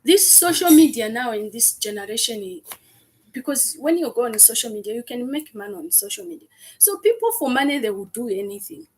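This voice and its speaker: A woman with an African accent chatting on a live stream, animated and acting out gossipy voices. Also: fairly high pitch, a little fast, slightly rough, rough recording. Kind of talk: casual chat on a live stream.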